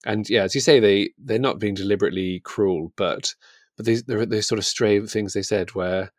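Male podcast co-host, low voice, close dry sound, musing hesitantly about fiction with frequent softening of his opinions. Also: normal speed, no room sound. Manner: tentative, hedging, trailing off. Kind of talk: conversational book discussion.

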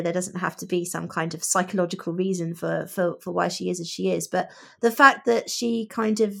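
A woman with a slightly low voice talks through her views on fiction as a podcast host. Her delivery is conversational, reflective and measured. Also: gravelly. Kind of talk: casual book discussion.

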